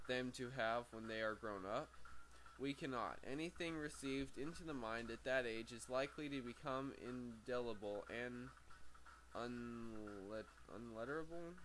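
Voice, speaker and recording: Halting man reading a book aloud. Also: mid-range voice, fairly bright tone, poor audio. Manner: halting, stumbles over words and corrects himself. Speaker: amateur book reader. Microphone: very sensitive microphone. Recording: faint background noise, sensitive microphone.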